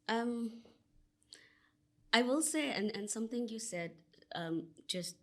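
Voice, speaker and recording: A South African woman with a somewhat low-pitched voice speaks as a podcast guest. She talks in an earnest, expansive way, stringing thoughts together with frequent "you know" fillers. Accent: South African English. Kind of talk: conversational interview answer.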